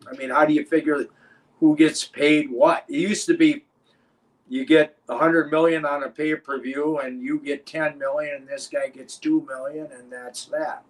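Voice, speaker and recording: A man's voice: a little high, steady tone, normal speed, rough recording. An older man and former wrestler, telling rambling, profane stories as an interview guest. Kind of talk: casual interview chat.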